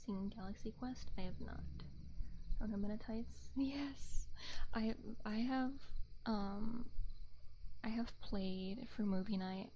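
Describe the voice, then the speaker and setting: A woman livestream host chatting casually in a gentle, soft ASMR voice that often slips toward a whisper, close on a binaural microphone. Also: medium pitch, slow pace, highs cut off, a little hiss or hum. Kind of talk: soft-spoken ASMR chat with viewers.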